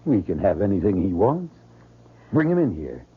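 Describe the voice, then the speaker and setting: An actor playing an earnest, romantic gentleman planter, theatrical and impassioned, in an old radio drama. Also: low-pitched, very animated, normal speed, breathy edge, full character voice. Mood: eager, insistent. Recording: tinny, noisy old radio broadcast.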